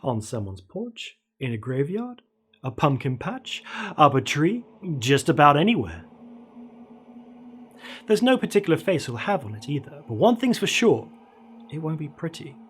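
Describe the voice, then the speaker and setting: A man in his thirties narrating a scary story in a theatrical, ominous way with deliberate emphasis. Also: a little high, very animated, husky. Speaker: horror storyteller, show host. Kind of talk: dramatic creepypasta narration. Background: dark ambient music.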